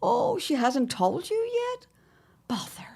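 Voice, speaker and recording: A woman playing a domineering older mother-in-law in an audio drama, pompous and condescending, with drawn-out, theatrical emphasis. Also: very high-pitched, wildly swooping pitch, creaky, darkish tone, heavily acted. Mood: grandly condescending. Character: haughty, domineering, sly older mother-in-law, needling and grandiose.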